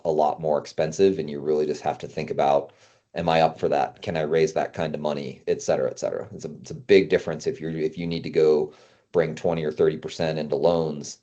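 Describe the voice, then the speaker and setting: Very deep-voiced man, casual business talk. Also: dark tone, muffled recording. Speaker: guest consultant on climbing gym business.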